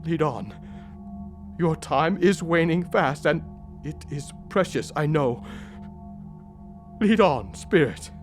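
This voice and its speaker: A pleading old miser, slow and swooping. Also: male voice, a little high, lively intonation, soft background music. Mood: grave, resigned. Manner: earnest, pleading, dramatic. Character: frightened, repentant old male miser, earnest and pleading. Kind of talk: theatrical audio drama acting.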